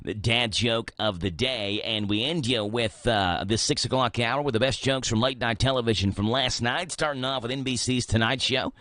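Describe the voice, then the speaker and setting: A man's voice: mid-range voice, moderate pace, hardly any pauses, sharp tone, dry recording, clean studio sound. A man hosting a morning radio show, upbeat and plain-spoken, reading segments and a local ad.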